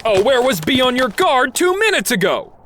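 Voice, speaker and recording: A man's voice: very high-pitched, sing-song, moderate pace, noisy background. A man playing a cynical, wisecracking robot detective with a sardonic delivery in an audio drama. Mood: agitated, sarcastic. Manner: sardonic, quippy.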